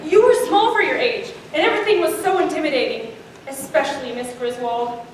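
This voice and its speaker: A woman's voice: high voice, brisk. A teenage girl narrating a comic stage story as a student performer, with a theatrical, projected delivery. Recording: echoey room, distant mic, faint noise.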